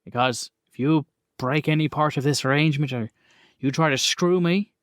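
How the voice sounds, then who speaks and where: A man playing a gruff, foul-mouthed mob boss with a blunt, commanding, bargaining manner in a tabletop game, over faint music. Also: fairly high pitch, normal speed, a bit bright, dry recording, studio quality, natural voice. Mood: firm, commanding.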